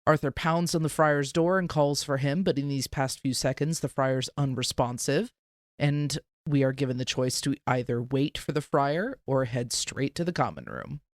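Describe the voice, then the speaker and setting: A man co-hosting a podcast, chatting casually and recapping in a smooth, clear voice. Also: mid-range voice, normal speed, dry recording, studio quality. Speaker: podcast co-host. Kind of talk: casual chat with recap narration.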